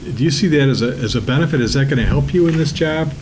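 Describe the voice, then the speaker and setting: A man's voice: mid-range voice, fast talker. A man on a council putting direct, plain questions to a candidate. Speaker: council member. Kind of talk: interview question. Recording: meeting recording with clear background noise.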